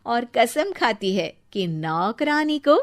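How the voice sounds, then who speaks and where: A woman's voice: fairly high pitch, big pitch swings, slow pace. A woman working as narrator, reading a scripted story.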